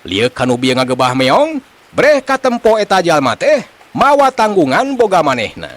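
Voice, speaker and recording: A man with a Sundanese accent telling a comic folk tale in an animated storytelling style. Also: high-pitched, normal speed.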